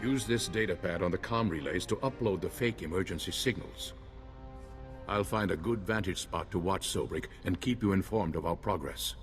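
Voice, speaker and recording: A seasoned older space-knight strategist , played by a male actor in a video game. He speaks formally and with measure, in a low voice with light music behind him. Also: moderate pace, natural voice. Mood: measured. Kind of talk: video game cutscene acting.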